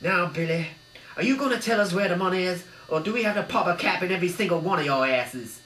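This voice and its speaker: A man voicing a violent, foul-mouthed American gangster avocado who yells threats. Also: high-pitched, animated, fast talker, far from the mic, voice pushed up. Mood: menacing shout. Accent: put-on American. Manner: loud yelling threats. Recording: echoey room, faint mains hum.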